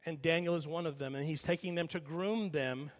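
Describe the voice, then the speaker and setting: A middle-aged man preaching a sermon, emphatic, slowing and repeating lines for weight and dropping quiet while telling a story. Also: on the high side. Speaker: pastor. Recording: long reverb of a large hall.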